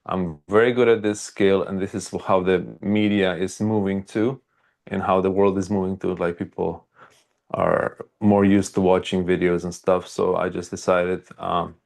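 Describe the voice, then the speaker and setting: A man with a Croatian accent speaks in a very deep register with a lot of gravelly fry. He tells stories casually as an interview guest, halting often and leaning on filler words. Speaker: archaeologist and video creator, interview guest.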